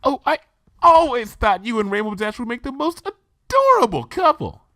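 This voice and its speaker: A refined and gossipy lady unicorn from a cartoon fan story, acted out by a man, speaks in a high, affected voice. The delivery is genteel and theatrical, with big swoops in pitch. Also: big pitch swings, normal speed, bright, forceful tone, no room sound, clean studio sound, heavily acted. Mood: excited, dramatic. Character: refined, dramatic, gossipy young female unicorn socialite.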